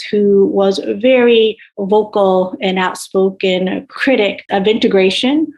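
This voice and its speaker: A woman in her forties speaks as a historian on a panel. Her mid-pitched voice stays quite flat in intonation as she explains at length. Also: poor audio. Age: 40s. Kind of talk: prepared, explanatory panel remarks.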